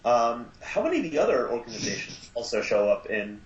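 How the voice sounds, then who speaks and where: A man appearing as a guest on a podcast, speaking casually and haltingly with lots of ums. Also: medium pitch, sing-song, roomy sound, highs cut off. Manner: halting, many ums, easygoing. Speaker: guest, audio drama producer. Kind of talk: casual chat.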